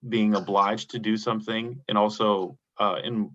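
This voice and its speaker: A man's voice: on the low side, little pitch movement, normal speed, a bit bright, lo-fi sound. A man in the audience asking a long, rambling question in a seminar, searching for his words.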